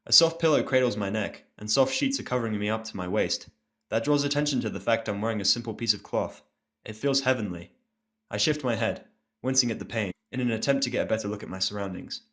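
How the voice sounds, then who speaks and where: A man's voice: on the low side, quick pace, a bit bright. A man narrating a scripted first-person story as an audio drama reader.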